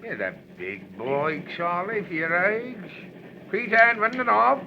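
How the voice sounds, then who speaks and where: A gravelly, high-pitched train conductor. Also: male voice, lively intonation, a little slow, heavily acted. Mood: officious. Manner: barking out calls and rules in a clipped way. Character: brisk male railway ticket conductor, gruff. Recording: dull lo-fi recording with faint noise.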